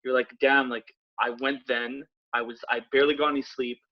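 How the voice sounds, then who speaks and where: A man's voice: medium pitch, little pitch movement, brisk, dry recording. A man co-hosting a podcast who talks casually, laughs easily and swears. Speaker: podcast co-host. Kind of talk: casual chat. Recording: dull lo-fi sound.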